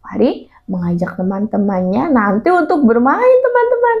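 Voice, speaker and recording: A woman's voice: on the high side, normal speed. A woman telling a story expressively to young children, in a dull lo-fi recording. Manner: addresses child listeners, expressive. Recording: dull lo-fi sound.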